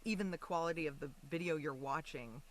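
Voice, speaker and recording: A woman in a relaxed interview chat, speaking as the guest. Her delivery is informal and animated, with thoughts that branch off and restart mid-sentence. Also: low voice, fairly quick, rough recording. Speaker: podcast guest, actor.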